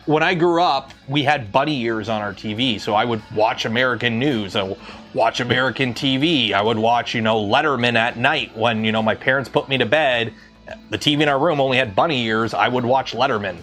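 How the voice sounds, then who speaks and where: A man's voice: medium pitch, fairly quick, a bit bright, faint music behind. A man in his thirties from Southern Ontario with a light Southern Ontario Canadian accent, rambling casually with many fillers on a livestream. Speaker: 3D printing livestreamer.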